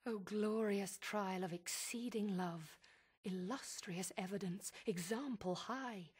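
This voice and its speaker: An actress playing a rapturous, devoted, persuasive wife in a verse epic, with impassioned, measured delivery. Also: female voice, on the low side, darkish tone, husky.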